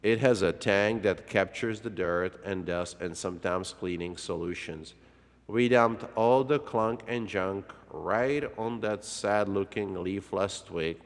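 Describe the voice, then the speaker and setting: Slow, low-voiced male storyteller in heavy reverb. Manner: dramatic, with drawn-out words and exclamations. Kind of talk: dramatic read-along children's story narration. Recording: long hall-like reverb.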